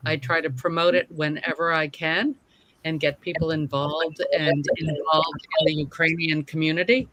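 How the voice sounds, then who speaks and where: Woman, bright sharp tone, short guest introduction, video call. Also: deep voice, level tone, normal speed, low-quality recording. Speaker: supporter introducing herself at a book discussion. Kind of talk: brief spoken self-introduction. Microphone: video call microphone.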